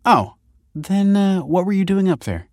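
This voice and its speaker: A man's voice: sits high, big pitch swings, a little slow, darkish tone, no echo, studio quality, voice pushed up. A man voicing a breezy, sceptical young woman in an audiobook, light and offhand. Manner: light, offhand, faintly judgmental. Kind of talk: audiobook character voice.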